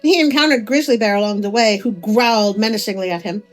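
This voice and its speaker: A woman narrating a folk tale as a storyteller, with expressive delivery. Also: mid-range voice, quick pace. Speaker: storyteller, host of a story channel. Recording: music under the voice.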